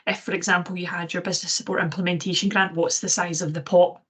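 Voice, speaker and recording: Flat-voiced woman asking a question. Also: on the low side, fast talker, husky. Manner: hedging, many fillers. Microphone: video call.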